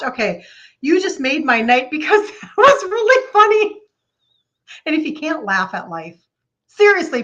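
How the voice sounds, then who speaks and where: A woman in her fifties with a Minnesota accent, hosting a casual live stream and chatting excitably with viewers by name. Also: sits high, dull audio. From Minnesota, United States. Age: 50s. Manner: excitable, gushing, repeats phrases for emphasis. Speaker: live stream host, health coach.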